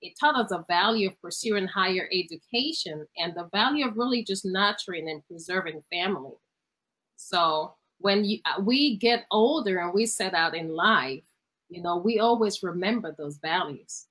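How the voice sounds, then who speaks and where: A woman's voice: fairly low pitch, animated, normal speed, a bit bright, poor audio. Earnest woman from Cameroon. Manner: earnest, motivational, repeats words when searching. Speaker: guest. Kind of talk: conversational interview answer. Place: television studio.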